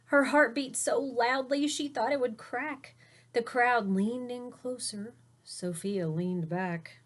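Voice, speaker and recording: A woman reading a children's picture book aloud like a teacher, with deliberate emphasis and short asides to her listeners. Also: a little high. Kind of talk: expressive read-aloud storytelling for young children.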